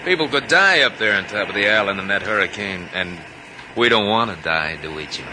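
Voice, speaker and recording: Actor as smug, cocky young gunman, lowish voice. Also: expressive, brisk, natural voice. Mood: smug, dreamy. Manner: smug, insinuating, musing. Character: cocky young gunman, greedy, dreamy and menacing. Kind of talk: 1950s radio drama acting. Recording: noisy old radio recording.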